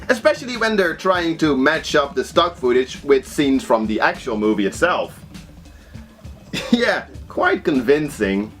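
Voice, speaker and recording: A man from the Netherlands with a Dutch accent, giving casual, chatty film review commentary in a wry, expressive way. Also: on the high side. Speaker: video host reviewing horror films.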